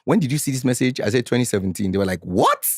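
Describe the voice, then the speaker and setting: A man in his forties with a Nigerian accent, a guest in a casual interview who talks in an animated, defensive way and slips into Pidgin when he gets heated. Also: medium pitch, quick pace, no room sound, clean studio sound. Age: 40s.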